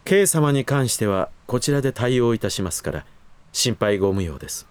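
An actor playing a protective butler in a Japanese voice drama, firm and extremely polite, with cool formality. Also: male voice, medium pitch, expressive, normal speed, smooth, lightly acted. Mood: coldly protective. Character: formal, protective male butler, coolly hostile to intruders.

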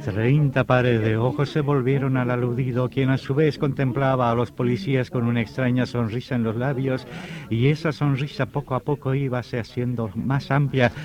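A man's voice: mid-range voice, natural voice. A man playing an ominous storyteller, narrating an old radio horror drama in a dramatic, literary style. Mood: suspenseful. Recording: dull old radio recording, loud music.

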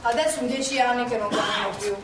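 Actress as an old Milanese woman, short of breath, blunt, Milanese accent, higher voice, echoey stage from afar. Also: very fast, recorded from a distance, close to an everyday voice. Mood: rueful. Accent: Milanese dialect. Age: older. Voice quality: earthy, blunt, wistful. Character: elderly Milanese woman, former partisan, breathless and feisty. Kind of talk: stage monologue.

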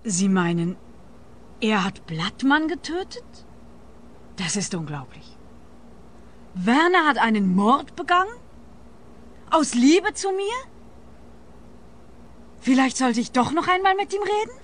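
A woman's voice: high-pitched, big pitch swings, slow, pauses often, fairly bright tone, a little hiss or hum, exaggerated voice. Blunt, teasing young woman. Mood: surprised, curious. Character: cool, blunt young woman fending off a suitor. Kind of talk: comic radio play acting.

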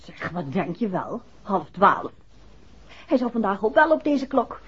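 An actress playing an affectionate, playful, well-off wife in a 1960s radio drama. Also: female voice, medium pitch, very animated, unhurried, fairly bright tone, breathy edge, heavily acted. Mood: wry, relaxed. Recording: dull, faintly noisy old radio recording.

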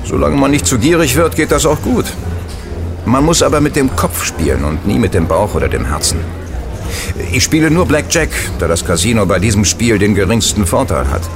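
An actor playing a chatty, superstitious casino gambler who gives knowing warnings in an audio drama. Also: male voice, mid-range voice, expressive, brisk, music in the background, close to an everyday voice. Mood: relaxed, advising. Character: easygoing, superstitious casino gambler, male, worldly regular. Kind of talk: German audio drama dialogue.

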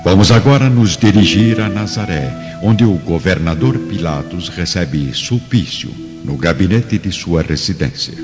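A Brazilian man narrates a radio drama in a very deep voice. The sound is muffled, with music clearly behind his scripted delivery. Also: animated, unhurried, hardly any pauses.

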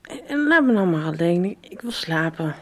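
An actress playing a defensive, stubborn daughter in a radio soap. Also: female voice, fairly low pitch, big pitch swings, normal speed, a bit bright, a little hiss or hum. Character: defensive, stubborn daughter who refuses to eat.

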